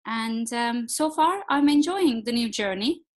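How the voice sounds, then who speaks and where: Woman, middle-aged, slightly high pitch, thoughtful, pausing often. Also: expressive. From Turkey. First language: Turkish. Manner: thoughtful, frequent filler pauses. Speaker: guest, executive coach. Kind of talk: podcast interview.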